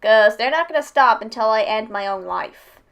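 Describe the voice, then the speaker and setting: A woman's voice: on the high side, animated, normal speed, vocal fry. A woman hosting a reaction video, giving casual personal commentary in a hesitant, rambling way with repeated words.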